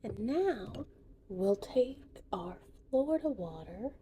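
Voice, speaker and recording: Woman as a slow, whispering, gentle witch. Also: on the high side, animated, a little hiss or hum. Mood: soothing, whimsical. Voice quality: breathy whispering, soft-spoken. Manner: slow, soothing, unhurried. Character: gentle, whimsical female witch teaching potion making. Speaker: ASMR performer. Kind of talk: ASMR roleplay.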